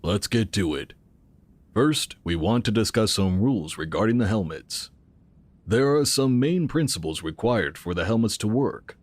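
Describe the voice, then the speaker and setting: An actor playing the calmly authoritative founder of a sci-fi organization in an audio drama, speaking instructively. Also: male voice, lowish voice, normal speed. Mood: composed, businesslike.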